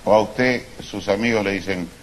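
A man's voice: lowish voice, normal speed, natural voice. A man playing a fussy, mock-learned language scholar in a live stage comedy sketch. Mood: pedantic. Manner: mock-learned, overly formal. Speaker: comic stage performer. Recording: live stage with background noise.

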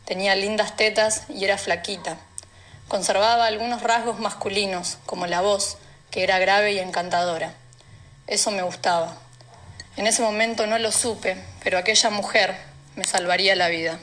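Female reader, Argentine accent, mid pitch, for first-person literary narration. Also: steady tone, moderate pace, a bit bright. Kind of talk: literary narration of a novel extract.

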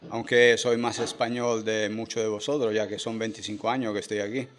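A man's voice: on the low side, level tone, a little fast. A middle-aged man from Italy who speaks Spanish with an Italian accent, answering questions earnestly as a former police officer guest on local radio. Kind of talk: casual radio interview answers. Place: radio studio.

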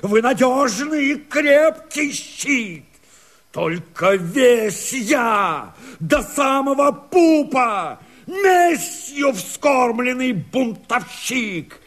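An actor playing a desperate, bitter escaped convict and rebel, declaiming verse in a hoarse, strained, theatrical way for a radio drama. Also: male voice, extremely high pitch, a bit bright, full character voice. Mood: frenzied defiance. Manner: impassioned, theatrical, drawn-out declamation with sudden outcries.

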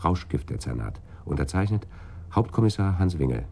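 An actor playing a brusque, cynical police detective in a German radio crime drama, with a husky voice and terse delivery. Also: male voice, low-pitched, very animated, moderate pace, mellow, slight background noise, exaggerated voice. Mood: brisk, cynical.

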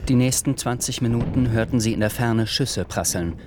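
A man narrating a radio drama in a calm, literary storytelling manner. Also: mid-range voice, normal speed. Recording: music underneath.

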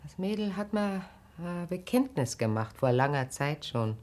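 Very low, slow-spoken grave wife. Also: female voice, sing-song, darkish tone. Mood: grave, hushed. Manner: deliberate, earnest, probing. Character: grave, perceptive, caring wife in a wheelchair. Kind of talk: naturalistic German radio drama acting.

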